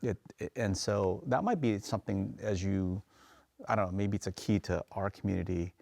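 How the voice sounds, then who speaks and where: A man's voice: lowish voice, moderate pace, vocal fry, darkish tone, dry recording. A man on a panel telling anecdotes with stumbling restarts. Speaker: panel speaker, tour guide. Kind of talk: panel discussion.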